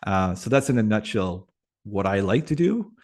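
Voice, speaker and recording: A man from Atlantic Canada whose first language is French, talking as a guest on a video call, reflective and pausing often with fillers. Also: fairly low pitch, lively intonation, darkish tone. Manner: thoughtful, many pauses and fillers. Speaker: guest, community facilitator. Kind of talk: reflective interview conversation.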